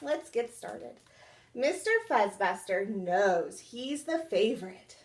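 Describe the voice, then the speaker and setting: A young woman reading a children's storybook aloud indoors in an expressive, dramatic storytime style. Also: medium pitch, slow pace. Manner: animated, dramatic. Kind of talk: expressive picture-book read-aloud. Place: small indoor room.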